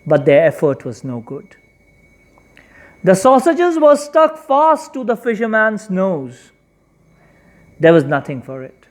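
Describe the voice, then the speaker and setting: An expressive storyteller narrates a children's folktale at a slow, deliberate pace. The pitch swings widely, giving dramatic emphasis to key words.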